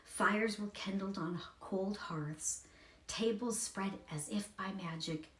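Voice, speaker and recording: A woman's voice: mid-range voice, slow pace, a bit bright, roomy sound, low-quality recording. A woman reading a children's story aloud as a scripted narration.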